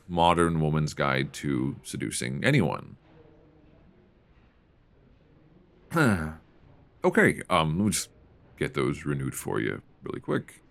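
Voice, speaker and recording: A man playing a mild-mannered, flirtatious middle-aged librarian who can turn quietly commanding, in an intimate, conversational ASMR roleplay on a close mic. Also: a bass voice, creaky, full character voice. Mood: amused, flustered. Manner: conversational, hesitant, teasing. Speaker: audio roleplay performer. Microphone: close binaural microphone.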